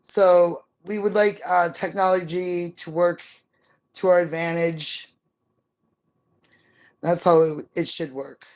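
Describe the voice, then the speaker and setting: A middle-aged woman chatting casually as a livestream co-host, in an easygoing, slightly halting, musing way. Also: on the low side, fairly even intonation. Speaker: live stream co-host.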